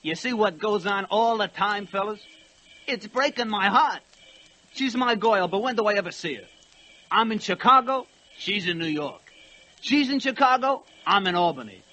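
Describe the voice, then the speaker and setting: Seeking a slangy, lovesick working-stiff locomotive, high forceful voice, 1940s radio drama. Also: male voice, animated, normal speed, heavily acted. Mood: aggrieved, plaintive. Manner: slangy, plaintive, tough-guy grammar. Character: lovelorn working-class male locomotive, slangy and emotional.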